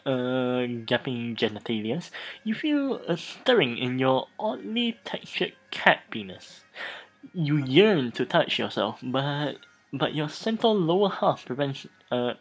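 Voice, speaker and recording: Seeking a mid-pitched let's play narrator: theatrical, animated intonation, frequent stumbles and repeated phrases. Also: breathy edge, rough recording. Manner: halting, stumbling over words and repeating phrases. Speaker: host of a gaming let's play. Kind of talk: dramatic reading of game text.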